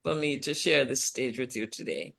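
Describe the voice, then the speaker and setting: Older woman, gravelly and chuckling. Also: extremely low pitch, normal speed, fairly bright tone. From Jamaica. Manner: chuckling, reflective, repeats words as she gathers thoughts. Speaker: guest author. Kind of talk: interview conversation.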